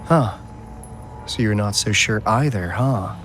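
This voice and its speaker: An actor playing an easygoing, wry young male agent in a video game, talking casually with teasing asides. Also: lowish voice. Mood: casual, candid. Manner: casual, teasing, drawling then brisk asides. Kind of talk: video game cutscene voice acting, conversational. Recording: music behind the voice.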